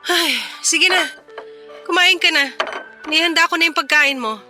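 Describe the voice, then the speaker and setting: A woman's voice: very high voice, a little slow, music in the background. A woman playing a tired, impatient but caring single mother, weary and scolding in a radio drama. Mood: tired, dismissive. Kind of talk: Tagalog radio drama acting.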